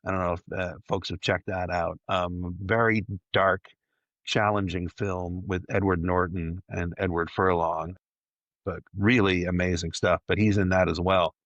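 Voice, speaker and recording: Deep-voiced, effusive man hosting a podcast. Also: level tone, a little slow, fairly bright tone, dry recording, lo-fi sound. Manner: effusive, emphatic, repeats words for emphasis. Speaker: podcast host. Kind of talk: casual fan discussion.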